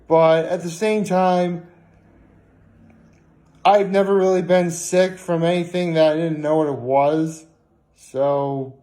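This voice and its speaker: Tired man rambling, slightly high voice. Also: warm tone. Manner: halting, repeats and circles back to his point. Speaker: daily vlogger. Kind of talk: casual rambling vlog monologue.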